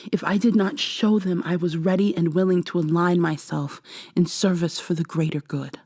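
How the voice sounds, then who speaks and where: A woman playing an earnest, regretful robot woman in an ASMR audio drama, speaking softly in a formal, measured way. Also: lowish voice, fairly even intonation, dry recording, clean studio sound. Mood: pleading, regretful.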